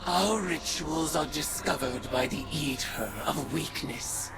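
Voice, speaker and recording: An actress playing a vengeful, regal witch god in a video game, proclaiming grandly in a gravelly voice. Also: sits low, low-quality recording. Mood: ominous. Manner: grandiose, imperious, deliberate declaiming. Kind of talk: dramatic video game villain monologue. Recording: loud music over the voice. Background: game music.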